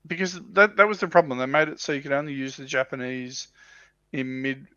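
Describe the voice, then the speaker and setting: A man with a bright, punchy voice chats casually as a livestream co-host. His pitch is average, and the sound is very dry and slightly lo-fi, with little room around it. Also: fairly even intonation, fairly quick, smooth, no room sound. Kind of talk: casual hobby chat about tabletop wargames.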